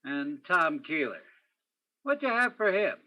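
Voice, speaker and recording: A man's voice: a little high, big pitch swings, measured pace, lo-fi sound. A man playing a probing, wry police detective in an old-time radio crime play, with terse, hard-boiled questioning. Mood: pressing. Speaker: student or guest stage actor in radio play.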